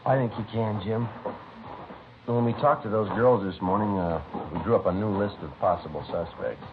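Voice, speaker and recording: A man playing a terse, methodical police sergeant in a 1950s radio drama, deadpan and clipped in manner. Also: on the low side, moderate pace, noisy background, close to an everyday voice. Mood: calm, probing. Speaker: actor playing the narrator and lead detective. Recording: muffled old radio recording, music behind.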